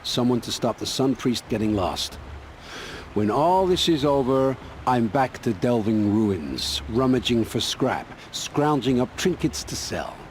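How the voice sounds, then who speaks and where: An actor playing a gruff, evasive ruin scavenger and scout in a video game, brusque and defensive. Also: male voice, medium pitch, sing-song, unhurried. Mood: weary, dismissive. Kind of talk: acted video game dialogue. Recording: clearly noisy, ambient background.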